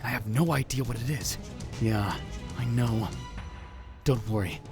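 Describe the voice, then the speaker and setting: A man's voice: mid-range voice, a little slow, lightly acted. A man playing an anxious, dramatic young conspiracy theorist who narrates a visual novel in a theatrical, self-questioning way. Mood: brooding, secretive. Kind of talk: first-person visual novel narration, acted. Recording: music bed under the voice. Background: background music.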